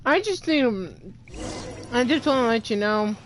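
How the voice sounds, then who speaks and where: A woman's voice: fairly high pitch, lively intonation, normal speed, slight background noise, rough recording. A teenage girl giving casual, slangy and blunt commentary as she plays a video game. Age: teens. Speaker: gamer playing through a story quest. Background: faint game music.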